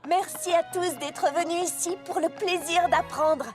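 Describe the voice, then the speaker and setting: Une jeune princesse poney posée, voix haut perchée. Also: female voice, very high-pitched, fairly even intonation, unhurried, soft background music. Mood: brisk, organizing. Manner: composed, teacherly, articulate. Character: kind, orderly young pony princess teaching magic. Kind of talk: French cartoon dub acting.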